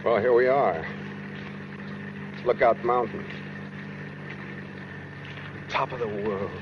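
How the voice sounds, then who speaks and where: An American man playing a brooding, coldly calm escaped killer in a 1940s radio suspense drama, speaking matter-of-factly with quiet menace. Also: medium pitch, slow, close to an everyday voice. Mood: eerily casual. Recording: dull 1940s radio recording with noise.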